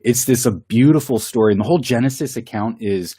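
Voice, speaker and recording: A man's voice: mid-range voice, a little fast, dark tone, slightly rough, dry recording, clean studio sound. A man with a cold, co-hosting a Bible study in casual, enthusiastic chat. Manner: enthusiastic, with filler words and laughs. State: has a cold.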